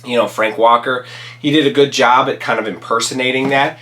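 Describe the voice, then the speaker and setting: A man hosting his own channel, talking casually through his favorite movies, raspy from a cold and rambling with many ums and restarts. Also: medium pitch, fairly even intonation, brisk, fairly bright tone, slight background noise. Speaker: channel host reviewing movies. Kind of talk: casual solo commentary.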